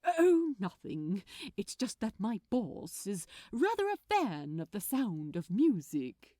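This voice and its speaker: A woman plays a sweet, prim gentleman with impeccable manners. His cheerful, genteel speech swings widely in pitch, like a lilting storybook character, recorded close and dry. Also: sing-song, slow, dry recording. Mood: pleasant, chatty. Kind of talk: fan fiction audiobook dialogue.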